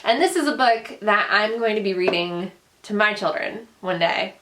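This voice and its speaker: A woman's voice: mid-range voice, expressive, moderate pace, pushed and bright, slight background noise. Earnest young woman vlogger, Dutch speaker. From grew up in the Netherlands, then the United States. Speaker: booktuber, vlog host. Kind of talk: casual personal storytelling to camera.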